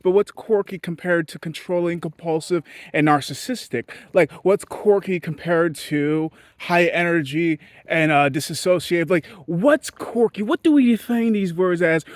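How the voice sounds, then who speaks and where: A man from Haiti giving a blunt, profane, rambling monologue to his audience as a vlogger. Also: dry recording. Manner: blunt, profane and rambling, with sudden jumps in pitch. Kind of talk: candid ranting monologue.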